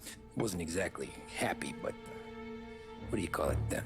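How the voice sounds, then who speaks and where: A deep male voice plays a tired 1930s gangster looking back on his life of crime. He speaks plainly and with slang, matter-of-fact, over clear background music in a story-driven game. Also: animated, moderate pace. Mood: reflective, measured. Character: weary 1930s gangster recounting his criminal past. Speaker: narrating lead character. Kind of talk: dramatic video game acting. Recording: music behind the voice.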